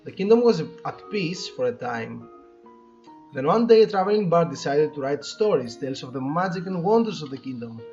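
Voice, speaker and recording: A man hosting a casual game playthrough, chatting haltingly and reading the on-screen text aloud with his own comments. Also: on the high side, very animated, darkish tone. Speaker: host of a gaming playthrough. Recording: dull lo-fi mic, music behind. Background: game background music.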